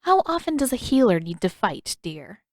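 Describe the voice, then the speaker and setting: A narrator puts on a kindly older woman, a healer in a fantasy story. She speaks in a measured, patient way, calm but gently firm, often questioning. The sound is close and dry. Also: a little high, no room sound. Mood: gently amused.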